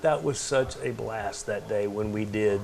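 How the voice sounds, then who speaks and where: A man's voice: fairly low pitch, unhurried, gravelly, a little mellow, slight background noise. A middle-aged man from Texas, interviewing a guest in a rambling, easygoing way. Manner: easygoing, rambling questions with fillers. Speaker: TV station host, interviewer. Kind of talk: casual interview. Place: tv station room.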